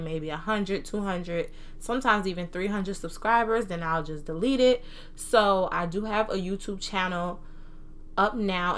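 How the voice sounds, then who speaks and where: Animated young American woman, bright forceful voice. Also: fairly low pitch, a little hiss or hum. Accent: American, New Jersey mixed with Southern. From New Jersey, US. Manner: animated, informal, slangy. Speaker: podcast host. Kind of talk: casual solo podcast chat. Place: own room at home.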